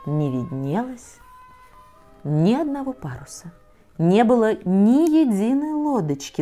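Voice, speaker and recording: A middle-aged woman reading a children's fairy tale aloud in a soft, measured way, sometimes sinking almost to a whisper. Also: mid-range voice. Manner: soft, measured, often dropping to a near whisper. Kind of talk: gentle children's story reading. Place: cozy living room set with bookshelves, in an armchair.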